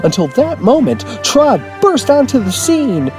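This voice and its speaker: A man's voice: extremely high pitch, sing-song, measured pace, over music, heavily acted. A man playing an earnest, awkward amateur storyteller, reading a parody children's storybook in a sing-song, childishly simple way.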